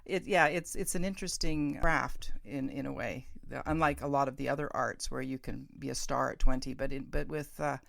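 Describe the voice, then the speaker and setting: A woman's voice: extremely low pitch, fairly even intonation, fairly quick, creaky, hardly any pauses, a bit bright, no room sound, studio quality. A middle-aged woman hosting a casual interview, informal, encouraging and enthusiastic in how she talks. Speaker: podcast host and interviewer.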